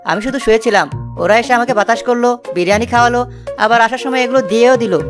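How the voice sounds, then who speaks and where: A woman's voice: on the high side, fast talker, close to an everyday voice. A woman voicing a lazy, cheeky, good-hearted young village man in a children's cartoon, breezy and boastful. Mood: cheerful, boastful. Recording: loud music under the voice. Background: loud music.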